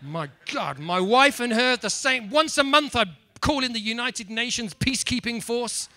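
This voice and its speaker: A middle-aged man with an English accent giving a playful, animated comedy talk to a room on a handheld mic. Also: extremely high pitch, normal speed, no room sound. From England. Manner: animated, playful, mock-apologetic. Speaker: speaker. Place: conference room.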